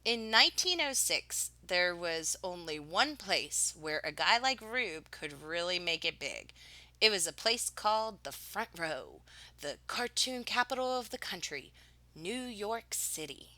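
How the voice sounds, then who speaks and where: Bright, animated woman reading a storybook aloud. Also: lowish voice, unhurried, dry recording. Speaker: teacher reading a picture book aloud. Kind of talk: expressive children's read-aloud of a picture book.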